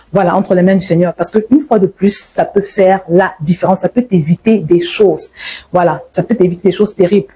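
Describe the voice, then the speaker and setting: Mellow, slightly husky woman sharing faith testimony. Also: medium pitch, poor audio. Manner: emphatic, repeats words and phrases for stress. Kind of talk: informal religious testimony and exhortation.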